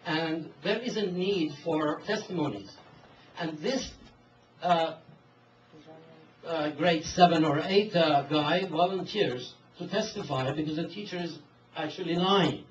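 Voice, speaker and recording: A man telling a story and then putting a question to a speaker, halting with many fillers and restarts. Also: on the high side. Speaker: interviewer. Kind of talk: hesitant spoken question to a speaker. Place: event hall. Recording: distant mic, dull, roomy hall with hiss.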